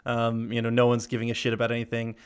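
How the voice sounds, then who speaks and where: A man's voice: mid-range voice, monotone, fast talker, clean tone. A man co-hosting a podcast in casual chat, rambling through a film's scenes with playful quoted lines, laughing and swearing now and then. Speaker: podcast co-host.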